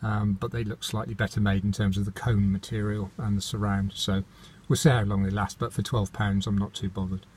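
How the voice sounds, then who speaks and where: Low-voiced British man giving a DIY tutorial. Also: little pitch movement, brisk, a little mellow, slightly rough. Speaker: DIY how-to presenter. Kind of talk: informal hands-on tutorial.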